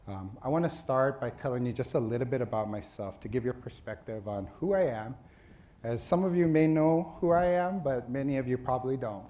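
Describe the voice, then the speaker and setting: A middle-aged man from Hawaii, a dean addressing his school's faculty in an earnest, halting way, with many fillers and restarts. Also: mid-range voice, warm tone. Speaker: dean of a social work school.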